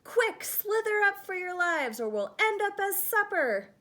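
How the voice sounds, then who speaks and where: Woman as high, excitable, fretful storybook snails. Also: measured pace, exaggerated voice. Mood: panicked. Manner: crying out, exclaiming.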